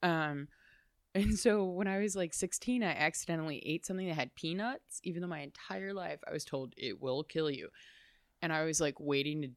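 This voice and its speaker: A young adult woman talking as an interview guest, loud and chatty, laughing often. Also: sits low, normal speed, no room sound, clean studio sound. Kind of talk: casual interview chat.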